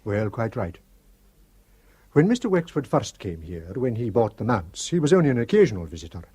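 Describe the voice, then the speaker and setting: An actor playing an earnest Shetland father in a radio drama, speaking in a measured way. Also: mid-range voice, sing-song, warm tone, breathy edge, dry recording. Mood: explaining. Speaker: islander on the committee.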